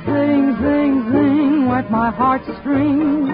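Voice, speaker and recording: A woman sings a 1940s show tune in a high voice with very slow, drawn-out phrasing. Loud music plays with her on a dull old radio recording. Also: steady tone. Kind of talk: 1940s swing-era show tune singing.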